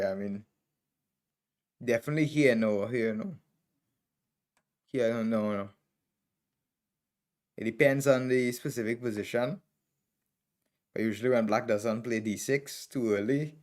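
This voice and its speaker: Chess master with a Trinidadian accent, a man with a lowish voice, thinking aloud through moves in short bursts. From Trinidad and Tobago. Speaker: chess master streaming game reviews. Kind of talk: live commentary on chess games.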